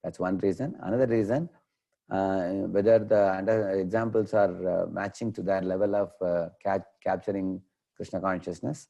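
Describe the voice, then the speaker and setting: Man, indian accent, lecturer on a video call, deep mellow gravelly voice. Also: fairly even intonation. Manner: unhurried, explanatory, many "uh" pauses. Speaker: devotional lecturer. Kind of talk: online class Q&A.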